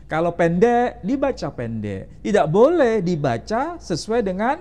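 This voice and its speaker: A man's voice: sits high, sing-song, unhurried, dark tone. Guy explaining religion to an interviewer, voice jumps up and down a lot, keeps throwing questions back at him. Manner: quizzes the interviewer with rhetorical questions. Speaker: Islamic teacher.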